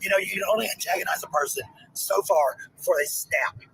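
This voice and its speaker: A woman with a gravelly, creaky voice, defiant and swearing freely in a heated livestream rant. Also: fairly low pitch, a little fast, fairly bright tone, slight background noise, rough recording. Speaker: parent.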